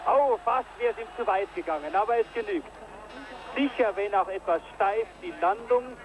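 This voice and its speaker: A man giving live commentary on a ski jumping event, getting excited on big jumps, from an old broadcast tape. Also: high-pitched, normal speed, rough recording. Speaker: sports commentator.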